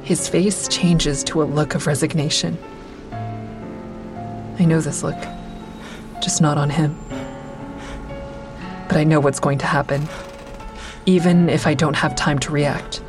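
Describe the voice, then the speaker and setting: A woman's voice: deep voice, steady tone, unhurried, long pauses. An actress playing a bitter, defiant woman who narrates a confrontation with her former partner in an audio drama. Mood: grave, reflective. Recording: music behind the voice.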